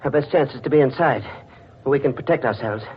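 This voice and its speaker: An actor playing an urgent, persuasive and scheming doctor in a theatrical old-time radio thriller. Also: male voice, medium pitch, fast, slight background noise, natural voice. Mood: controlled urgency. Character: insistent, scheming male doctor urging a frightened woman along. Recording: muffled vintage radio drama recording with music.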